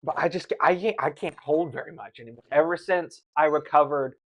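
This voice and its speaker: A man chatting casually on a livestream in a halting, grumbling manner, often repeating his words. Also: a little high, fairly bright tone. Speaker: streamer. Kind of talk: casual chat while tasting food on a livestream.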